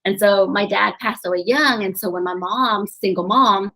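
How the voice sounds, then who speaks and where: Gravelly-voiced woman telling her story. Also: mid-range voice, normal speed, rough recording. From grew up in New Orleans, USA. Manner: chatty and animated, laughs often while telling stories. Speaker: podcast guest, eye doctor and real estate investor. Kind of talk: casual interview storytelling.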